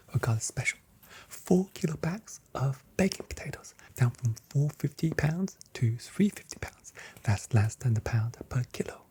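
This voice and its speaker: A very breathy, whispered voice with a soft, dark tone, in an ASMR role play as a grocery shop assistant. The delivery is gentle and chatty, like friendly sales patter spoken quietly. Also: medium pitch.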